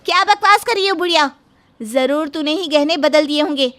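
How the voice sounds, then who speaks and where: A woman's voice: high-pitched, moderate pace, bright, forceful tone. A woman playing a scheming, whining and threatening female relative in a dramatic moral story. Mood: angry. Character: scheming, shrill mother of the bride and greedy threatening daughter. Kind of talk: animated moral-story dubbing.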